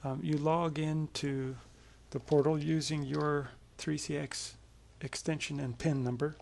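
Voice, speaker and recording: A man presenting a software tutorial as a casual screencast walkthrough, explaining things informally in a creaky voice. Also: fairly high pitch, little pitch movement, unhurried, slight background noise.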